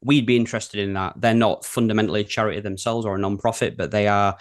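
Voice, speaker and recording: A man's voice: on the low side, steady tone, fast talker. A man with a broad Yorkshire accent, a creative director chatting easily as a guest in an interview, with plenty of ums. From England. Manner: easygoing, chatty, with frequent ums. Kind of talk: casual interview conversation.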